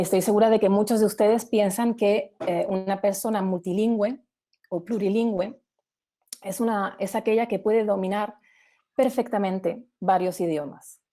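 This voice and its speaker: A woman's voice: medium pitch, dark tone. A woman giving an explanatory webinar presentation in a didactic way, explaining with examples.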